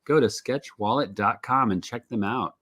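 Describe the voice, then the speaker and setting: A man's voice: medium pitch, normal speed, a bit bright. A man in his fifties co-hosting a casual podcast, teasing his co-host with dry jokes and reading a sponsor plug. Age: 50s.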